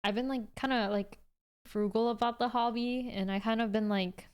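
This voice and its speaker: A woman in her twenties with a fairly high, very smooth and clean voice. She talks as a livestream host in loose, chatty rambles, answering viewers casually as she goes. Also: fairly even intonation, moderate pace. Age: 20s.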